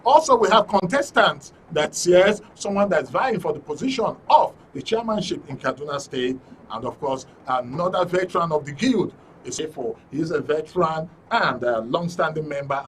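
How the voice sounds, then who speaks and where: A Nigerian man hosting a live studio panel show, introducing things haltingly and repeating phrases. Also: fairly high pitch, animated. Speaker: radio and TV programme host. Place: radio and TV studio. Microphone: studio microphone. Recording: faint noise, distant studio mic.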